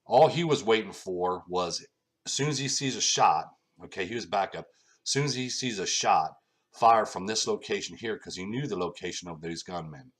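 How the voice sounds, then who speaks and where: Deep-voiced man explaining with lots of "okay". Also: moderate pace, a bit bright. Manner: repetitive, keeps saying okay, nonstandard grammar. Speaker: self-published researcher presenting a theory. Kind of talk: informal lecture pointing at a map.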